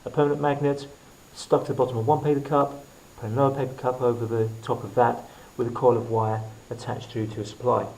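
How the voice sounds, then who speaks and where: A man presenting a hands-on science demonstration as a teacher, explaining to camera in short, deliberate phrases. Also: mid-range voice, flat delivery, a little mellow, muffled recording. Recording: clearly noisy recording with clicks.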